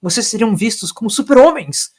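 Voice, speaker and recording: A man's voice: high voice, expressive, fairly quick, slightly rough, pitched above the performer's own voice. A man voicing an impassioned, idealistic aristocratic time traveler making emphatic speeches in an audio story. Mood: impatient, fervent. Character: impassioned aristocratic male Doctor, idealistic and impatient.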